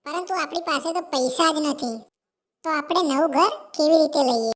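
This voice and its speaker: A very high, childlike cartoon sparrow, acted by a woman. She is cheerful and eager, gushing in repeated exclamations as she chatters through an animated moral tale. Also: normal speed, close to an everyday voice. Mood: wistful. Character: cheerful, eager, chatty female sparrow visiting her sister. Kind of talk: Gujarati animated moral story dialogue.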